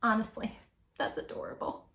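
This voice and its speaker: A woman reading a picture book aloud to young children, with dramatic emphasis, hushed asides and questions to her listeners. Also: normal speed, roomy sound, a little hiss or hum. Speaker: children's storytime host.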